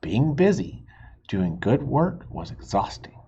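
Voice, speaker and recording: A man reads a children's storybook aloud expressively, pausing for simple asides and questions to young listeners. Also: medium pitch, sing-song, mellow, husky, highs cut off. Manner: asks the listeners questions, explains words simply.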